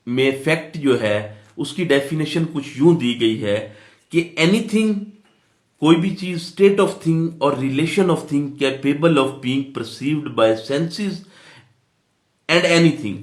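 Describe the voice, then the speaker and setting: A man with a Pakistani accent giving an explanatory lecture as a law teacher, in a didactic way, repeating points and answering his own questions. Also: on the high side. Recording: echoey room.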